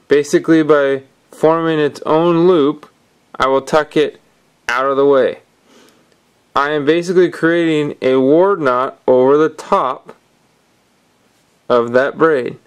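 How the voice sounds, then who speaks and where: A man calmly explaining step by step how to tie fishing knots, talking while his hands work at a table indoors. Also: a little high, clean tone. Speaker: fishing instructor. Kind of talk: hands-on knot-tying tutorial.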